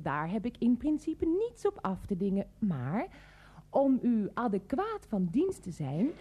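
Casting: prim, moralizing shopkeeper for radio comedy, wordy and insistent, high soft-edged voice with big swoops. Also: female voice, slightly rough, exaggerated voice. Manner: verbose, formal, lecturing. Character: pedantic, insistent greengrocer saleswoman who moralizes at customers. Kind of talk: comic radio play acting.

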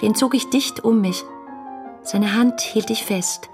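A woman's voice: on the high side, slow, music in the background. An actress playing an ardent young woman in a radio play, reading adoring love letters in an intimate, rapturous manner. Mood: dreamy, intimate. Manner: rapturous, intimate, shifting between hushed and exclamatory.

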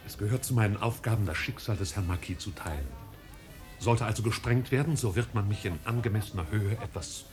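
An actor playing an unflappable, long-winded manservant in a 1950s radio play, with formal, deferential, deadpan diction. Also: male voice, fairly low pitch, a little fast, faint music behind, lightly acted.

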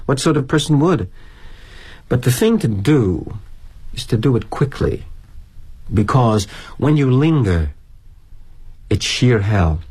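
An actor playing a weary, sardonic middle-aged corporate executive, speaking in a wry, confiding monologue. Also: male voice, mid-range voice, a little mellow, slightly rough. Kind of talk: theatrical monologue.

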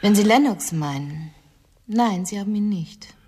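A woman's voice: medium pitch, animated, measured pace, darkish tone, breathy edge. An actress playing a cool, intense, wealthy woman in a radio crime drama, formal and reserved in her delivery. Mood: reserved, curt.

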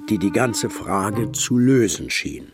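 A man narrating a German radio drama as a detective's companion recalling a case, in a measured, literary storytelling manner. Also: lowish voice. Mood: measured, reflective.

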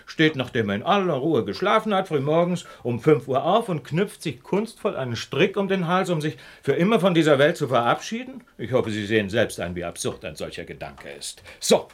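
An actor playing a brilliant detective in a German radio play, precise and didactic, with ironic, condescending politeness. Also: male voice, on the high side, big pitch swings, brisk, hardly any pauses. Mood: emphatic, lecturing. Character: brilliant male detective, superior, calmly lecturing, ironic.

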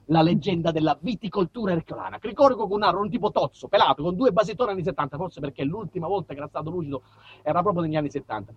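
A man with a southern Italian accent performing a comic monologue, theatrical and mock-pompous, moving between muttered asides and loud outbursts. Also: sits high, breathy edge, highs cut off. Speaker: stage monologist. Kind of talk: comic autobiographical storytelling to a live audience.

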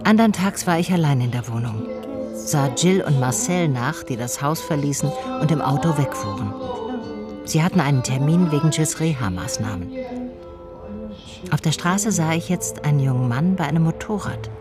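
An observant investigator telling her story in a radio drama. Her voice is slightly low. She narrates reflectively and probes in dialogue, with clear music in the background. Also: animated, normal speed. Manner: reflective, probing. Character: observant, probing female amateur investigator and narrator. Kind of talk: scripted first-person narration, radio drama.

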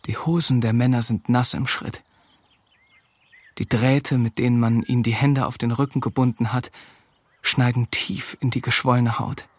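A male actor gives sober literary narration for a wartime radio drama. The voice is mid-pitched and recorded very dry, measured and detached. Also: steady tone, no room sound, natural voice. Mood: sober, observant.

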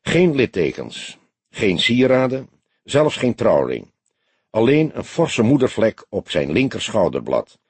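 A man's voice: lowish voice, wildly swooping pitch, unhurried, darkish tone, breathy edge, no echo, exaggerated voice. A man voicing a young detective in an audiobook, an earnest and self-assured junior colleague who reports precisely and emphatically. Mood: precise, factual.

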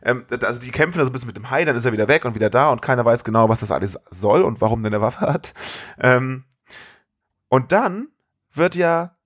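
Guy on a film podcast, casual slangy retelling, medium pitch, dry sound. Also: expressive, fairly quick, dry recording. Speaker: podcast co-host. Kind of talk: casual film-review chat.

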